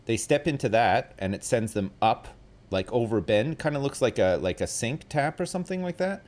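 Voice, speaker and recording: A man's voice: medium pitch, lively intonation, a little fast, darkish tone. A man in his forties hosting a casual gaming stream, explaining things in a rambling way with lots of fillers and some self-deprecation. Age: 40s. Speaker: streamer host.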